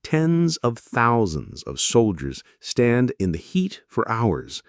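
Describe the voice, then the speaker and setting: A man narrating scripted history in a measured storytelling way, with dramatic pauses and wry asides. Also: mid-range voice, wildly swooping pitch, no room sound, clean studio sound.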